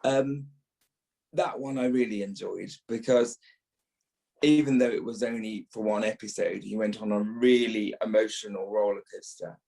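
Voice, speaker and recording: A man's voice: lowish voice, fairly even intonation, normal speed, creaky, dark tone, rough recording. A man, an actor, talking reflectively as an interview guest, stressing his points by repeating words. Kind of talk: reflective podcast interview talk.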